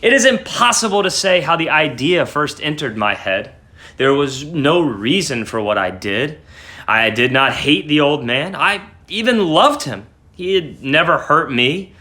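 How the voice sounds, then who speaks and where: A man's voice: on the high side, sing-song, a little slow, exaggerated voice. A man playing an agitated madman who insists he is sane, in an intense, theatrical dramatic reading of a gothic story. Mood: intent, secretive. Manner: intense, theatrical, with sudden hushes and swells. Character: agitated madman insisting on his sanity, confessing a murder.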